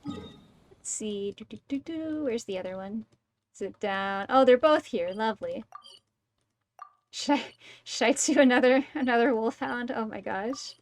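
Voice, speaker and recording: A woman's voice: fairly high pitch, measured pace, pauses often. A woman doing casual live gameplay commentary, chatty and playfully grumbling, often muttering to herself as she plays. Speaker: streamer playing a video game. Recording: game music behind the voice. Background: video game music.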